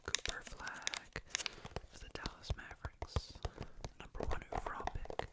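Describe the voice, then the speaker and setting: Sleepy, barely audible ASMR whisperer. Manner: very soft, hushed, unhurried rambling. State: exhausted, sleepy. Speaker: ASMR creator. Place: small bedroom, lying in bed at night.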